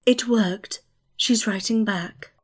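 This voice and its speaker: Woman as decisive heavenly fate, female, slow-paced husky delivery, fantasy audio drama. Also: mid-range voice. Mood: intent.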